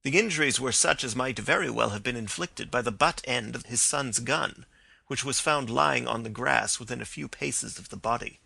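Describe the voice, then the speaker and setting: Very gravelly male story narrator. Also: mid-range voice, sharp tone, dry recording.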